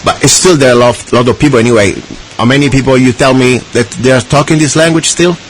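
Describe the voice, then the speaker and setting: A male radio host chats in a loose, rambling way, often restarting his sentences. His voice sits at a mid pitch. A faint hiss runs under the broadcast. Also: fast talker, rough recording. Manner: chatty, with frequent restarts and fillers. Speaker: radio talk show host. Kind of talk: casual radio interview.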